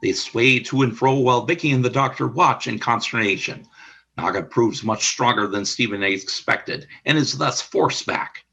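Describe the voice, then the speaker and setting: A man reading the stage directions of a fan radio play in an even, descriptive way. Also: mid-range voice, normal speed, creaky, a little hiss or hum. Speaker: narrator.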